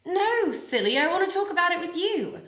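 A woman's voice: extremely high pitch, very animated, a little fast, fairly bright tone, highs cut off, exaggerated voice. A young woman playing a sweet, naive servant girl, earnest and innocent, in a comic pantomime. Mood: dreamy. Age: young adult. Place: indoor room with brick wall, video call. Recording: roomy video call, music behind.

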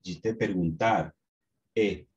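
A man from Peru with a Peruvian Spanish accent, teaching an online class in Portuguese with slow, halting speech and many restarts, over a video call from a small home study. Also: deep voice, muffled recording. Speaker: course instructor. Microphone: webcam on video call.

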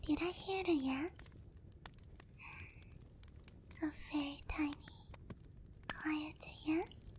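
A woman playing a seductive, doting, gently dominant giant demon queen in a soft, breathy whispered ASMR roleplay, teasing and coaxing, close to the microphone. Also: high-pitched, extremely slow, long pauses, noisy background. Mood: teasing, amused.

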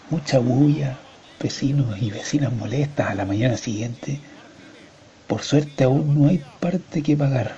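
Mellow Chilean father, played by a man, slightly dull sound. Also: medium pitch, slight background noise, lightly acted. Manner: colloquial, confessional. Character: conflicted divorced father confessing a secret affair. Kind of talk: radio drama monologue acting.

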